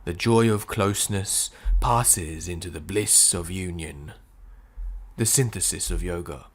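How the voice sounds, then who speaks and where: A man reading spiritual prose as an audiobook narrator, phrasing it deliberately with frequent pauses. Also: deep voice. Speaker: audiobook reader.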